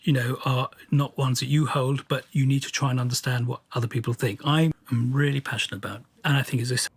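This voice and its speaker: A man speaking articulately and earnestly in a radio interview, setting out his views in measured phrases. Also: mid-range voice, steady tone, husky, no room sound.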